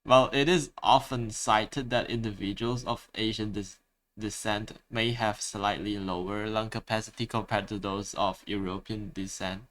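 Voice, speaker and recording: A man's voice: fairly low pitch, fairly even intonation, unhurried, a bit bright. A man with a Malaysian English accent, chatting casually on a live stream and reading replies aloud. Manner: chatty, restarts words, reads answers aloud.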